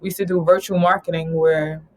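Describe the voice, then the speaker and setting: A woman's voice: lowish voice, flat delivery, brisk. A woman from New York talking casually as an interview guest, rambling and laughing often. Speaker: guest, travel company founder.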